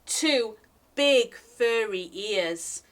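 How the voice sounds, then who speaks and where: Very animated, high-pitched woman reading to children. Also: extremely slow. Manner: expressive, singsong, addressing young children directly. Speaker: library storytime reader. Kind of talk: animated children's picture book read-aloud.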